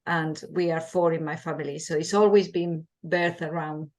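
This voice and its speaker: A woman's voice: deep voice, fairly even intonation, moderate pace, a little mellow. A woman in her forties from Spain with a Spanish accent, a midwife answering interview questions in a passionate, earnest way, often restarting her words.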